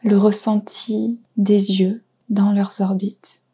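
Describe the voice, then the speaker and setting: A woman guiding a yoga nidra relaxation, speaking softly and soothingly with pauses between short phrases. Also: mid-range voice, level tone. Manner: soft, breathy, soothing delivery with pauses. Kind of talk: guided relaxation meditation.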